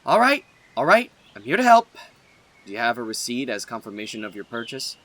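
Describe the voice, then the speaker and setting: A man's voice: medium pitch, very animated, brisk, a bit bright. A man voicing a disgruntled, sarcastic young furniture store employee in a casual, grumbling audiobook performance. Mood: resigned helpfulness. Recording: echoey hall with long reverb.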